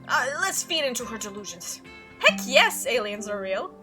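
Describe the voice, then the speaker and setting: A woman gives casual playthrough commentary on a visual novel. Her voice is high and lively, with wide swings in pitch. Game music runs underneath. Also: unhurried. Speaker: gaming video host.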